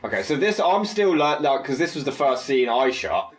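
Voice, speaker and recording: A man's voice: medium pitch, very animated, moderate pace, soft background music. A man who made and acted in the film, talking casually on a cast commentary. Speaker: filmmaker and actor on a cast commentary.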